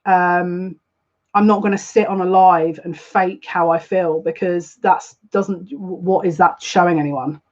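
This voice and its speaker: A woman's voice: on the low side, steady tone, unhurried, dull audio. A woman hosting a live spiritual reading, speaking earnestly and confidingly, often repeating her phrases. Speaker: psychic livestream host.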